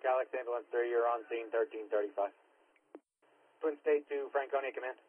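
Husky man dispatching over tinny radio. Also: mid-range voice, fairly quick. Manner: clipped, repeats units and times. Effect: two-way radio. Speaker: fire and ambulance dispatcher. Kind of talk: procedural emergency radio dispatch. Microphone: dispatch radio microphone. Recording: narrow, tinny scanner radio audio.

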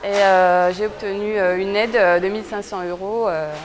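A woman's voice: mid-range voice, steady tone, moderate pace, music in the background, poor audio. A woman of thirty explaining things in a casual interview, with frequent hesitations. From Besançon region, eastern France. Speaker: exhibition creator being interviewed. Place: indoor exhibition hall. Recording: busy crowd noise behind.

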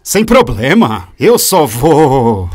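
A weary cartoon animal dad played by a man talks in a casual, slow way. His tone dips and rises, and music is heard behind him. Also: mid-range voice, sing-song. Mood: nonchalant. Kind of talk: cartoon dub.

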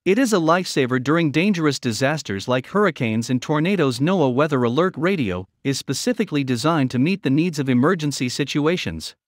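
A man's voice: on the high side, dry recording, clean studio sound. A man reading a scripted promotional voice-over as a product review narrator.

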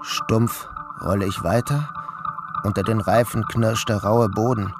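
A man's voice: on the low side, fairly even intonation, a little slow, over music, close to an everyday voice. An actor playing an anxious, shaken man narrating his own mishap in a radio play, in precise literary phrasing. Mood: tense.

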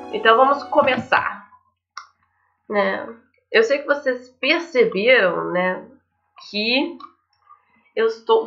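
A woman's voice: a little high, fairly bright tone. A young adult woman with a Brazilian accent, hosting a casual let's play, chatting to viewers and reading game text aloud. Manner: chatty, addresses viewers with frequent tag questions. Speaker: gaming video host. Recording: slightly dull mic over game music. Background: faint game music.

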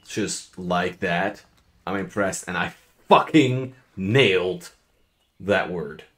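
A man's voice: fairly low pitch, vocal fry, a bit bright. A Dutch man with a Dutch accent, narrating a tabletop game as host and game master and chatting casually while he plays, laid-back and swearing casually. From Netherlands. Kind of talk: casual gameplay commentary.

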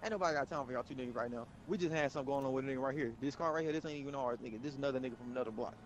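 A man's voice: medium pitch, rapid-fire, lo-fi sound, a little hiss or hum. A man gaming in online gang roleplay, insistent and repeating himself in street slang. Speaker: gamer playing a gang member in online roleplay.

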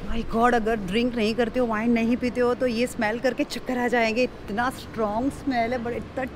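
A woman's voice: fairly high pitch, little pitch movement, a little fast, background noise. A woman in her thirties with an Indian accent, talking casually and chattily as a travel vlogger, mixing two languages and exclaiming as she goes. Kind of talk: casual travel vlog talk mixing Hindi and English. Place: on location at cafe terraces, streets and winery halls. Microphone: handheld camera at arm's length.

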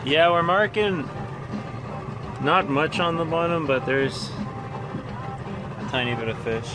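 A man's voice: on the high side, slow, loud music behind the voice, poor audio. A young man hosting a fishing vlog, chatting casually while fishing on an open boat offshore, recorded on an action camera. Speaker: fishing channel host. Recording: loud engine and wind noise.

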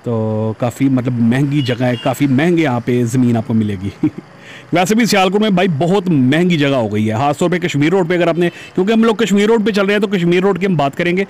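Guy talking on a bike ride, helmet cam, traffic noise outside, kinda higher voice. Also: brisk, a little mellow. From Pakistan. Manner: chatty, addresses viewers as "janab". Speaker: motovlogger. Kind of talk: casual commentary while riding. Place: outdoors on a busy city road, riding a motorbike. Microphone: helmet-mounted camera.

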